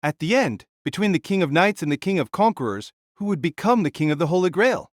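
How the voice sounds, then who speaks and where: Man acting jovial giant king, audio drama, hearty, easygoing, lively intonation, dry recording. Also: high voice, fairly quick, no room sound. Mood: playfully grand. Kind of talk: audio drama character reading.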